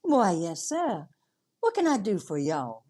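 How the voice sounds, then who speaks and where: A woman's voice: medium pitch, huge pitch swings, unhurried, mellow. An actress playing a sweet, flirty, gushing salesgirl with a Southern accent in a radio comedy. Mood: sweet, curious. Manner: gushing, coy. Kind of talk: 1940s radio comedy acting.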